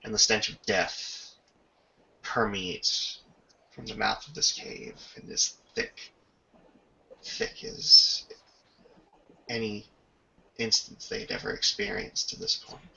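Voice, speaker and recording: A man's voice: on the low side, wildly swooping pitch, takes it slowly, creaky, long pauses, bright, forceful tone, dull audio, a little hiss or hum. A man narrating a tabletop roleplaying game as game master, with ornate, descriptive storytelling.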